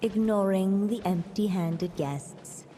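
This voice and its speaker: A woman playing a gentle fairy-tale narrator in a video game, telling the story in a measured, formal way. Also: medium pitch, slight background noise, lightly acted. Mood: measured storytelling. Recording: long reverb, game music behind. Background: game music.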